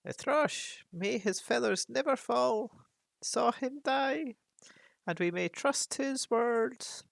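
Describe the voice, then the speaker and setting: A woman voicing a huge, very old male raven chief who speaks with a croaking, solemn, measured voice. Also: fairly high pitch, no echo, pitched above the performer's own voice. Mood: solemn warning.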